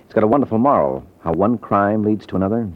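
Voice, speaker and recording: An actor playing a glib, sardonic private detective in an old-time radio drama. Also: male voice, on the low side, expressive, quick pace. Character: wisecracking, unflappable hard-boiled male private detective. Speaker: lead detective. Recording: muffled old radio recording.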